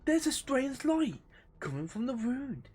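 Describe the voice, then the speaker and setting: A woman's voice: sits high, sing-song, measured pace, a little mellow, slightly rough. A woman giving loose, rambling playthrough commentary as a game streamer, casual and sweary, sometimes reading game text aloud. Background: game music.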